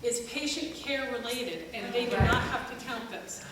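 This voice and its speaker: A woman's voice: on the high side, moderate pace, a loud crowd. A middle-aged American woman, a family doctor, giving a sarcastic, exasperated live speech to an audience. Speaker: family doctor speaking as an activist. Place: live concert event in a church. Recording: echoey hall from far off, noisy.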